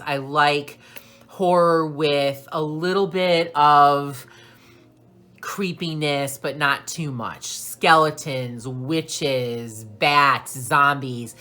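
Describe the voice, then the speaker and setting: A woman hosting a craft channel, chatting casually and rambling a little, slightly hoarse and clearing her throat now and then. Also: low voice, pushed and bright. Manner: chatty, rambling, slow and deliberate. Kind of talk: casual vlog talk.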